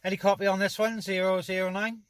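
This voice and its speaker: Bright-voiced man on CB. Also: high-pitched, flat delivery, fast talker. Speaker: CB radio operator hosting a net. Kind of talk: casual radio chat. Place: inside a parked car.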